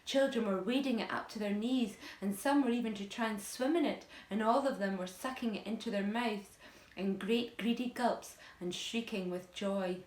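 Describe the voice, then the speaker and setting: A woman reading a children's story aloud like a teacher to pupils, in a dramatic, emphatic, expressive storytelling style. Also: mid-range voice, moderate pace, reverberant, poor audio.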